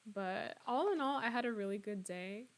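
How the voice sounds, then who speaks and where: A woman in her twenties, slightly hoarse, chatting casually and laughing often as she streams a game and answers her viewers. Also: medium pitch, moderate pace, noisy background. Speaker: streamer playing a horror game. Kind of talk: casual live-stream chat, reading out viewer comments.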